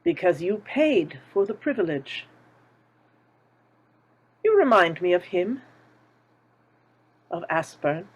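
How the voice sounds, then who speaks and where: A woman's voice: lowish voice, very animated, slow, long pauses, slightly rough, lo-fi sound, full character voice. A woman playing a weary, knowing old woman with a secret, in a screenplay table read. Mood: weary, pointed.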